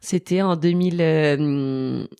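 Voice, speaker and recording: A woman's voice: low voice, takes it slowly, mellow, clear, resonant tone, no echo. A French woman in her thirties, a guest chatting casually in an interview, hesitant with many fillers and frequent laughs. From France. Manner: hesitant, lots of fillers and restarts, laughs often. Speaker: podcast guest.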